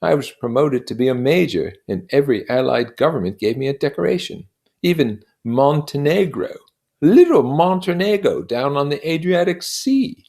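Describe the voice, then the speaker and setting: A man voicing a boastful young gentleman war veteran in an emphatic, affectedly genteel manner, as dialogue in an audiobook. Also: fairly high pitch, lively intonation, normal speed, creaky, pitched above the performer's own voice. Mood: boastful, earnest. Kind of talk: acted dialogue in an audiobook reading.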